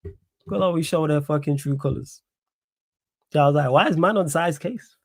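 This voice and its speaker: A young man with a strong Manchester accent talks back to his live chat, indignant and insistent, circling back to the same phrases again and again. His voice sits a little high for a man. The sound is dry and close, with no room around it. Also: moderate pace, gravelly, no room sound. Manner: indignant, repeats phrases, reads chat aloud. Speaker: livestream host. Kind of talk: live stream chat reaction.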